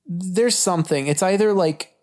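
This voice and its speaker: A man's voice: sits high, moderate pace, darkish tone. A young man co-hosting a podcast, chatting informally about movies, hesitant and peppered with swearing. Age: young adult. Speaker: podcast co-host. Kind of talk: casual movie chat.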